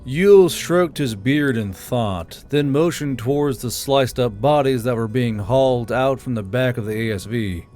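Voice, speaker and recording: A man narrating an audiobook in a plain, unhurried way, then casually thanking channel supporters. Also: medium pitch, darkish tone, soft background music. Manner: plain, unhurried storytelling; casual and blunt outro.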